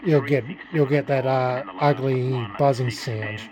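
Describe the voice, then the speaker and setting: A man's voice: mid-range voice, steady tone, a little slow, a crowd in the background. An Australian man chatting casually in a vlog, rambling and self-deprecating as he talks in a blunt way. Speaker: vlogger restoring a vintage radio.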